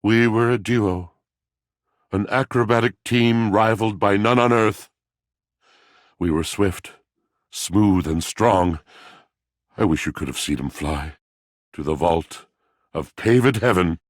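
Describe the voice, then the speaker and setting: A man voicing a brooding, lovelorn, melodramatic video game character, speaking in a grandiose, poetic, mournful way with a gravelly voice. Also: lowish voice, slow pace, long pauses, dry recording, clean studio sound. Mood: wistful, exalted.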